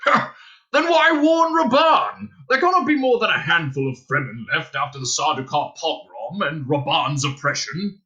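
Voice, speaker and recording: A scheming heavyset Baron, as a male narrator puts him on, swinging from loud barks to cold, measured whispers. His pitch leaps about wildly, and the tone stays bright and forceful throughout an audiobook reading. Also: expressive, lo-fi sound. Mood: scoffing. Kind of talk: audiobook character voice.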